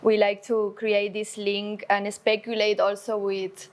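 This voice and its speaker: A woman's voice: mid-range voice, monotone, a little slow. A woman from Argentina, an artist with a dance background, presenting a talk to an audience in a friendly, plain way with some hesitant fillers.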